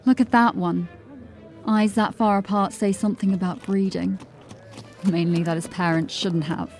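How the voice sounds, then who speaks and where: A bold undercover watchwoman played by an actress with an average-pitched voice. She is wry, teasing and confident, in fantasy audio drama style over faint music. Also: steady tone, moderate pace, a little mellow, lightly acted. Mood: playful, catty. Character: bold, playful, catty female watch officer undercover.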